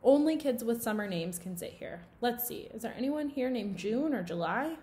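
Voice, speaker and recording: A woman's voice: on the high side, expressive, moderate pace, warm tone, close to an everyday voice. A woman voicing a friendly, chatty, earnest schoolgirl from a children's storybook. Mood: playful, eager.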